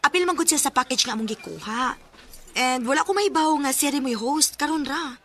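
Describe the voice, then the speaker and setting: A woman's voice: high voice, lively intonation, fairly quick, fairly bright tone. A woman acting in a Cebuano-accented radio drama as a chatty bride-to-be sharing news with a friend. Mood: excited, gossipy.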